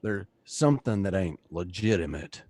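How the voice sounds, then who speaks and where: A man's voice: deep voice, lively intonation, moderate pace, a little mellow, dry recording. A man playing a suspicious, accusing neighbor in a radio play, talking in a folksy, bullying way.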